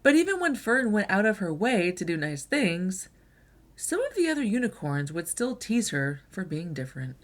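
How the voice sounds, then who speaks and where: Expressive woman reading a picture book, lively pitch. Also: moderate pace. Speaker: read-aloud storyteller.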